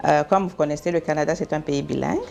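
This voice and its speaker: Low gravelly older woman from Congo. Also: quick pace, a little mellow, dull audio, faint music behind. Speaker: guest. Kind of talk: interview answers.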